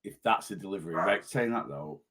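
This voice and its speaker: A man, a weight loss coach chatting casually in a vlog, a little hoarse from losing his voice and rambling as he goes. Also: sits low, fairly quick, background noise. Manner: chatty, rambling, self-interrupting.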